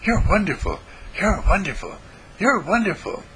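A man's voice: mid-range voice, very animated, moderate pace, gravelly, bright, forceful tone, a little hiss or hum, voice pushed up, full character voice, rough recording. A man voicing a mischievous, affectionate littlest boy of the family, speaking in a stage whisper in a storybook tale.

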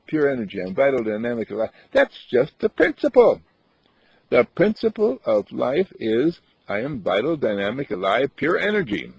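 Husky man chanting affirmations. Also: mid-range voice, darkish tone, dry recording. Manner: repetitive affirmations, chuckling. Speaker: meditation teacher. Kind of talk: spiritual talk. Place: outdoors on a path. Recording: dull dry lo-fi recording.

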